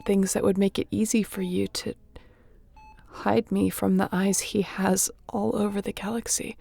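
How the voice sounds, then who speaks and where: A woman playing a battle-weary spaceship captain in an intimate audio roleplay, speaking tenderly and guardedly, with a hushed, halting, earnest delivery. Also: mid-range voice, level tone, creaky, breathy edge, no room sound. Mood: disbelieving, moved.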